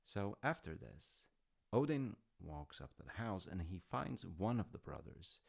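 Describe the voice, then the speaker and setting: A man's voice: on the low side, big pitch swings, unhurried, creaky, heavily acted. A man playing an easygoing dad in an intimate audio roleplay, telling old myths casually with wry, understated humour. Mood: wryly amused. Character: easygoing, artsy dad telling Norse myths to his grown child.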